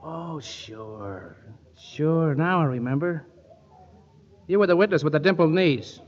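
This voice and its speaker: An actor playing a wisecracking, flirtatious lawyer in a 1940s radio comedy, sardonic and quick with wry quips. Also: male voice, fairly high pitch, lively intonation, highs cut off. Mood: smooth-talking. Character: wisecracking, flirtatious male lawyer who likes a drink. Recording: old 1940s radio broadcast.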